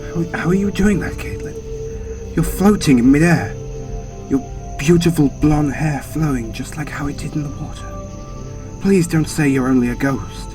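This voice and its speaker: A man's voice: fairly high pitch, normal speed, distant mic, music in the background, natural voice. An actor playing a dazed, grieving man under a spell in a horror audio drama, hesitant and stammering. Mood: entranced, awed.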